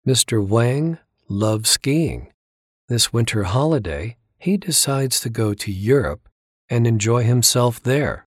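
A man reads exam items in a measured way, at a mid pitch, pausing with care. The recording is close and dry. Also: animated, no room sound. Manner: slow, measured, deliberate reading. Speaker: listening test reader. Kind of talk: scripted exam narration. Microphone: close studio mic.

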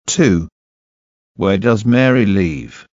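A man reading short scripted sentences for a language listening test, deliberate and clearly enunciated. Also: on the low side, animated, a little mellow, no room sound, lo-fi sound.

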